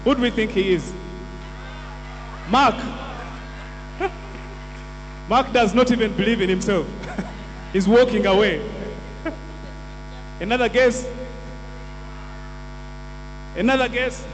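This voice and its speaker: A man's voice: sits high, takes it slowly, long pauses. A man hosting on stage, teasing the audience and asking them to guess who the next speaker is. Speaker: event MC. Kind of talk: crowd-engaging stage hosting. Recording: reverberant hall with mains hum.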